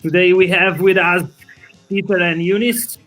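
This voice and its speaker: A man's voice: high voice, little pitch movement, normal speed, a bit bright, faint music behind. A man from Italy, a native Italian speaker with an Italian accent, hosting an online video call show in a friendly, hesitant way. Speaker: show host.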